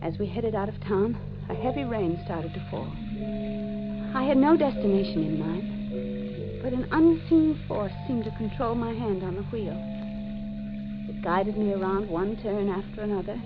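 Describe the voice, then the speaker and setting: A woman's voice: medium pitch, expressive, unhurried, close to an everyday voice. An actress playing a haunted, desperate wife who confesses her murders, in intense, melodramatic 1940s radio mystery style. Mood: haunted, confessing. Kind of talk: 1940s radio mystery acting, first-person narration. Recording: dull old 1940s radio recording with music.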